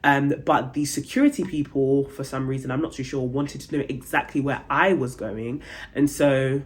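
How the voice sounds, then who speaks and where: Chatty young woman telling a travel story. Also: moderate pace. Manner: animated, acts out dialogue with big pitch jumps; chatty, many fillers like "like" and "um". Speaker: travel vlogger. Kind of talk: casual storytelling to camera.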